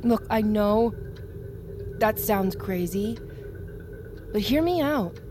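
A woman's voice: mid-range voice, lively intonation, slow, noisy background. An actress playing a young female Army recruit, defiant, sardonic and haunted, in a naturalistic audio drama. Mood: earnest, jaded. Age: young adult.

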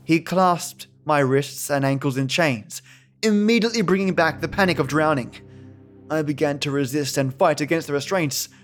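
A man narrates scripted horror fiction in the first person. His voice sits a bit high for a man, and the read is dramatic. Music plays softly beneath him. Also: moderate pace. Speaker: storyteller. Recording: voice over background music.

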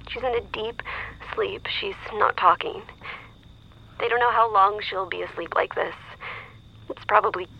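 A woman's voice: mid-range voice, moderate pace, vocal fry, pauses often, lo-fi sound. A woman playing a worried, weary mother heard through a telephone filter in an audio drama. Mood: grave, hushed.

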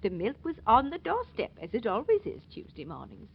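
An actress playing a fretful, forgetful old aunt in a 1940s radio drama, speaking in a hesitant, apologetic way. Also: female voice, fairly high pitch, wildly swooping pitch, brisk, slightly rough, heavily acted. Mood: flustered, earnest. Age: older. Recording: dull, noisy old 1940s radio recording.

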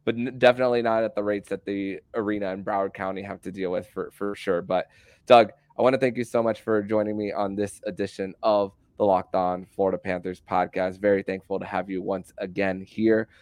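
A man hosting a sports podcast in a conversational style, with a somewhat halting delivery that repeats words. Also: fairly low pitch, flat delivery, a little mellow, no echo.

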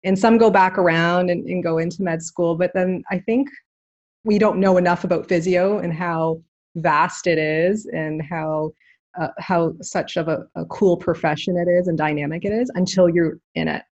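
A woman's voice: on the low side, fairly even intonation, moderate pace, darkish tone, lo-fi sound. A woman hosting a podcast, chatting with a guest in a friendly, encouraging way. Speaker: podcast host, physiotherapist. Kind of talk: casual interview chat.

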